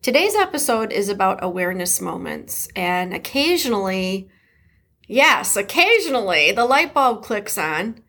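A woman's voice: mid-range voice, big pitch swings, a little slow. A middle-aged woman from Detroit with a Midwest accent, hosting her podcast in a chatty, emphatic way. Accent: Detroit Midwest American. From Detroit, USA. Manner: warm, chatty, emphatic, with laughs and shout-outs. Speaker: podcast host. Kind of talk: casual solo podcast talk.

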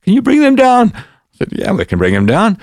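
A man from Zimbabwe with a Zimbabwean accent, a former bodyguard telling stories as a podcast guest in casual, animated chat. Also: high voice, brisk, gravelly, no room sound, studio quality. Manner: animated anecdotes, lively quoted dialogue. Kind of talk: casual storytelling.

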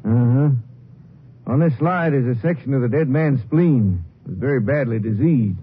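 An actor playing a brisk, precise small-town medical examiner in a 1950s radio drama, speaking in a professional manner. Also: male voice, medium pitch, lively intonation, slightly rough. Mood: businesslike. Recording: muffled old radio recording, faint noise.

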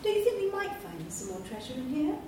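A woman reading aloud, voicing the excitable young female Snork Maiden in a high, piping way. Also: fairly quick, noisy background. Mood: eager. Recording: echoey hall from far off.

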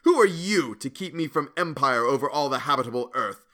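A man's voice: medium pitch, animated, normal speed, sharp tone. A man voicing a grandiose, paranoid madman who claims a crown, in melodramatic gothic audiobook style. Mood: grandiose rage. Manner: imperious, melodramatic. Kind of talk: dramatic gothic audiobook acting.

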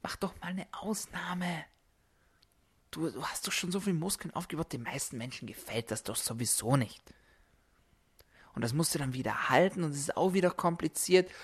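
A man's voice: on the high side, slightly rough. A man voicing a coaxing inner tempter that makes lazy excuses, whispery at times, with music underneath.

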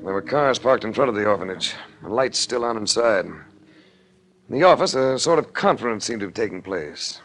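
An actor playing a cool, hard-boiled private investigator in a 1940s radio show, wry and terse, on a noisy vintage recording. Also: male voice, lowish voice, lively intonation, moderate pace. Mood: wry narration. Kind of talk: 1940s radio detective acting and narration.

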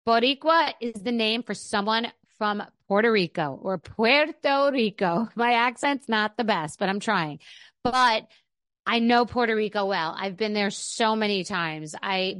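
A woman's voice: mid-range voice, unhurried, a bit bright, smooth, dry recording. Woman reading an ad, dry sound. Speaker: ad reader. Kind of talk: read advertisement.

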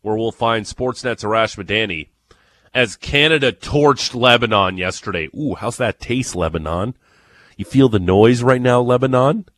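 A man with an Ontario accent hosting a morning sports radio show, chatting and teasing with excitable energy. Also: mid-range voice, normal speed, dry recording. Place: radio studio. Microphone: studio microphone.

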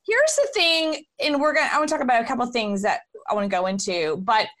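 A woman from Houston, hosting an interview in an emphatic, animated way. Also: on the high side, quick pace. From Houston, Texas. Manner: emphatic, animated, talks over guest. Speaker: podcast host. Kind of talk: casual interview chat.

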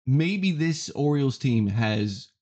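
A man's voice: mid-range voice, expressive, warm tone, dull audio. Emphatic man hosting a sports podcast. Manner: emphatic, deliberate repetition for effect. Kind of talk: opinionated sports commentary podcast.

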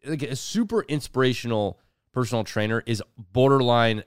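A man in his thirties co-hosting a casual podcast, talking in an animated way and acting out quoted voices. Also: medium pitch, normal speed, clear, resonant tone, no room sound, studio quality. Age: 30s. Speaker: podcast co-host.

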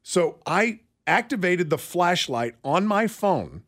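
A man's voice: high voice, very animated, slow, fairly bright tone. An American man co-hosting a radio show in casual banter, telling stories in a wry, rambling way.

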